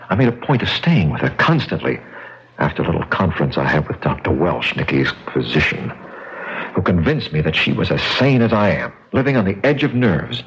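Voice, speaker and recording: An actor playing a grimly determined accuser in a radio drama, grave and deliberate as he confronts a killer. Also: sits low, breathy edge, heavily acted. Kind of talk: old radio drama acting. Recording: noisy, dull old radio recording.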